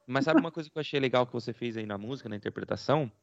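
A man's voice: mid-range voice, racing, vocal fry, no echo. A man with a Brazilian Portuguese accent, co-hosting an interview and explaining things at length in a casual, praising way. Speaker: co-host. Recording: dry, dull lo-fi sound.

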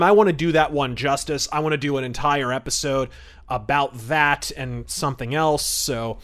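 A man's voice: a little high, a bit bright. A man hosting a podcast, giving film review and history commentary in a conversational, opinionated, wryly amused way. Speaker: podcast host.

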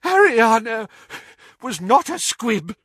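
A man's voice: extremely high pitch, very animated, slow pace, a bit bright, slightly rough, no echo, clean studio sound, pitched above the performer's own voice, heavily acted. A man voicing a frail, loyal old wizard in a storybook reading, wheezy and trembling, pleading in stiff, wounded indignation. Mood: indignant, wounded. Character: frail, miserable, indignant elderly male wizard, loyally defensive.

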